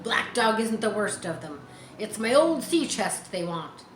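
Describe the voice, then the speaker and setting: A woman voicing a gruff, fearful old sea captain from a storybook, with an urgent, foreboding growl. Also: medium pitch, big pitch swings, unhurried. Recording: roomy, some background noise.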